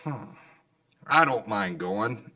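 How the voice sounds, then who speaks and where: An actor playing an indifferent, greedy businessman with a gravelly drawl in an old-time radio drama. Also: fairly low pitch, animated, slow, sharp tone, far from the mic, full character voice.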